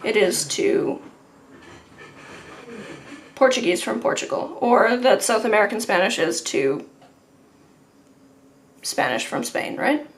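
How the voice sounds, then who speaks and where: A woman's voice: mid-range voice, lively intonation, takes it slowly, pauses often. A woman hosting a live watercolour painting stream, chatting with viewers in a rambling, laughing way. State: sore neck.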